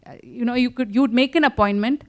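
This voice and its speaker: A woman, an author answering questions in a relaxed conversation, often restarting her sentences. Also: a little high, fairly quick. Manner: animated, many restarts and fillers. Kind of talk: conversational interview.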